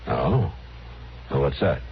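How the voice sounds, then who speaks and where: An actor playing a calm, firm, laconic frontier marshal in an old-time radio western. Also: male voice, a bass voice, gravelly, full character voice. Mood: easygoing, helpful. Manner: laconic, unhurried. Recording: muffled, noisy old radio recording.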